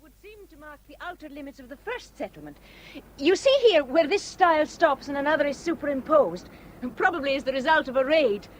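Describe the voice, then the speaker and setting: An earnest young Shetland scholar. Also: female voice, sits high, animated, fairly quick, a little hiss or hum, low-quality recording. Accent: Shetland Scots. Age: young adult. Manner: earnest, enthusiastic, self-deprecating. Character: bright young Shetland archaeology graduate. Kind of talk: 1960s radio drama acting.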